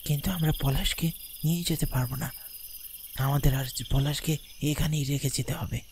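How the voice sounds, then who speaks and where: A man acts out a wary young man from a horror cartoon, out to save his own skin. His pitch is fairly high, and faint night-insect noise sits behind him. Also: fairly even intonation, moderate pace, darkish tone, close to an everyday voice. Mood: hushed urgency.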